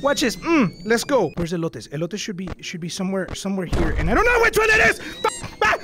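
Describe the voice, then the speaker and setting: A young man playing a VR horror game, panicking and yelling, switching between English and Spanish, and losing his voice. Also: sits high, wildly swooping pitch, brisk, heavy background noise. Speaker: VR gaming YouTuber. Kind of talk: loud, frantic gameplay commentary. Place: indoor room, in front of a green screen.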